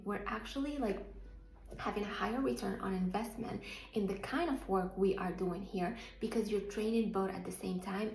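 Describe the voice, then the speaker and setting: Moroccan-born woman coach, mid-pitched, earnest and filler-heavy, recorded with room echo. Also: a little mellow. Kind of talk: motivational talk to camera.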